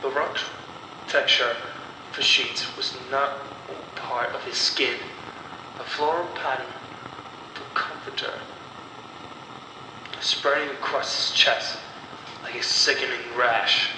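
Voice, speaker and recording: Slow, echoey male horror narrator. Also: medium pitch, steady tone. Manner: deliberate, dramatic, broken phrasing with pauses. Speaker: storyteller and narrator of horror stories. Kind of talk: scripted horror story narration. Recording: roomy echo, distant mic, clear background noise.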